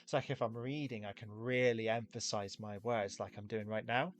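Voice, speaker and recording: A man's voice: fairly low pitch, steady tone, normal speed, highs cut off. A British man with a British accent and a slight regional twang, hosting a casual chat, thoughtful and rambling, at times a little nasal. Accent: British, neutral standard with a regional twang. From Britain. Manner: thoughtful, rambling, says he can sound nasal. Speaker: podcast host. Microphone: desk microphone.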